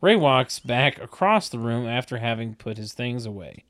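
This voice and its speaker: A man's voice: mid-range voice, expressive, normal speed, a bit bright. A man reading stage directions as scripted narration for a comedy table read, literal and tongue-in-cheek.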